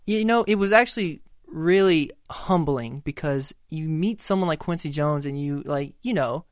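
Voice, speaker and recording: A young male singer from outside Nashville, Tennessee, chatting as a guest in a studio interview, relaxed and full of fillers and restarts. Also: on the high side, moderate pace, no room sound. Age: young adult. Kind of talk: casual interview chat.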